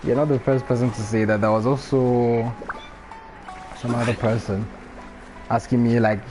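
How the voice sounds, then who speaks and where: A man's voice: mid-range voice, flat delivery, moderate pace, over music, poor audio. A man from Botswana with a Botswana English accent, chatting casually and laughing often while live-streaming a game. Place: home room during a rainstorm. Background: faint rain and ambient noise.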